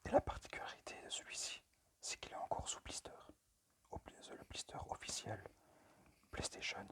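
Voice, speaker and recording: A man speaking in a breathy, unvoiced whisper into a phone microphone, presenting informally in ASMR style with a hesitant, unpractised manner. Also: fairly bright tone. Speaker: amateur ASMR creator and game collector. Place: at a desk indoors.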